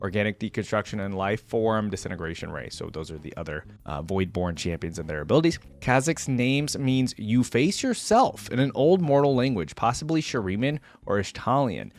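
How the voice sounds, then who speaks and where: A man's voice: lowish voice, lively intonation, normal speed, few pauses. A man giving casual, off-the-cuff reaction commentary as a video creator, thinking aloud in a conversational way.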